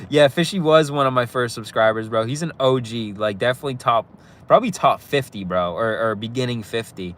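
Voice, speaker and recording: A man's voice: mid-range voice, expressive, normal speed, fairly bright tone. A young man with a slight cold hosts a casual live stream outdoors on his phone, rambling and laughing easily with viewers. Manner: laid-back, rambling, full of "like" and "bro", laughs easily. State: has a slight cold, sniffly. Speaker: YouTuber hosting a live stream. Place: outdoors, across the street from a police station.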